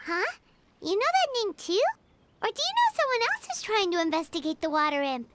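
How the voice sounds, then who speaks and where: Squeaky, tiny childlike game fairy. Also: female voice, big pitch swings, a little fast, over loud music. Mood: surprised, relieved. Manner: speaks of herself in the third person, exclamatory. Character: tiny excitable childlike female fairy companion, squeaky and skittish. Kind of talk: video game voice acting.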